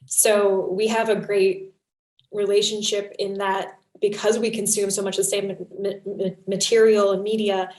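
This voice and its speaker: A woman's voice: mid-range voice, flat delivery, normal speed, darkish tone. Woman panelist in an echoey room. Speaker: panelist, fiction podcast writer. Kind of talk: panel discussion, conversational. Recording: roomy, echoing sound.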